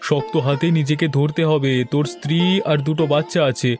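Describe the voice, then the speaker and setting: A man's voice: on the high side, flat delivery, fairly quick, darkish tone, faint music behind, natural voice. A man voicing a hopeful, encouraging village fisherman friend in a cartoon story.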